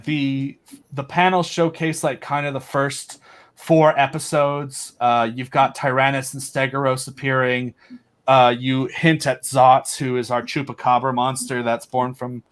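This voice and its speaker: A man talking casually and enthusiastically as a podcast guest, animated and joking, with wry asides. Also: medium pitch, a little slow. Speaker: podcast guest, audio drama creator.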